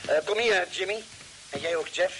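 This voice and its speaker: An actor playing a careful, concerned ship's doctor in vintage radio drama style. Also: male voice, sits high, brisk, close to an everyday voice. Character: cautious, concerned ship's doctor on Mars expedition. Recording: crackly old radio recording.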